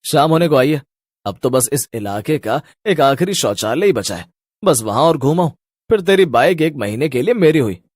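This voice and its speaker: A man's voice: a little high, expressive, moderate pace, a little mellow, no room sound. A man voicing a cocky skeptic who scoffs and boasts in a Hindi cartoon story. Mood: boastful.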